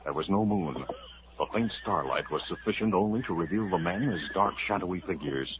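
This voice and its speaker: Deep-voiced man narrating an old radio western. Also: animated. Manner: measured storyteller, building to urgency in action scenes. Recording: muffled 1950s radio recording with loud hiss.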